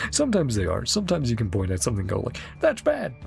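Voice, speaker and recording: Woman, low fry-laden voice, casual game reaction, musing commentary, music playing behind. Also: huge pitch swings, fast, a little mellow.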